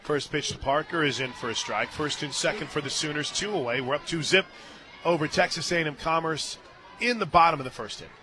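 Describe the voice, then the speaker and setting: A man doing live radio play-by-play of a softball game, mixing commentary with casual banter from a booth at the ballpark. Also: a little high, brisk, low-quality recording. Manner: bursts into excited shouts on big plays. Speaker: play-by-play sports radio announcer.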